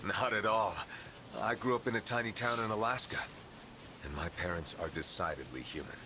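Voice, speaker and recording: A man's voice: on the low side, a little fast, bright, forceful tone, slight background noise. An actor playing a reserved small-town man in a cozy video game. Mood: measured, guarded.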